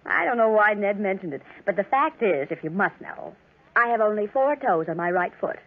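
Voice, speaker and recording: A woman's voice: mid-range voice, expressive, moderate pace. An actress playing a sweet, sociable young country woman with a gushing manner in a 1940s radio drama. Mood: polite. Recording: muffled 1940s radio recording.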